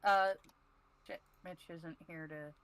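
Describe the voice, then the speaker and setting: A woman chatting casually as a livestream panelist, halting and easily flustered as she speaks. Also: sits low, steady tone, a bit bright. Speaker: panelist on a talk show.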